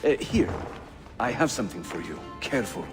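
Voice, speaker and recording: An actor playing a jovial, roguish rebel assassin in a video game, playful and theatrical. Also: male voice, medium pitch, big pitch swings, moderate pace, music in the background, lightly acted. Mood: jovial, teasing. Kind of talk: dramatic video game acting.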